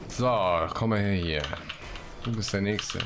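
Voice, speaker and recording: Low-pitched man commentating a game. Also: a little slow, background noise. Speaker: let's play host. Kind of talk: casual gameplay commentary with read-out quest texts.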